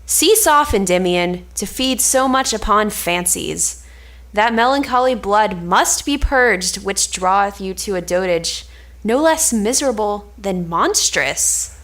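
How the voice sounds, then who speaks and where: A woman's voice: medium pitch, animated, takes it slowly, a bit bright. A woman playing a sensible, reproving male friend in a stage play, reading formal archaic lines. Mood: incredulous, chiding. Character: sensible, scolding male friend of a lovesick nobleman.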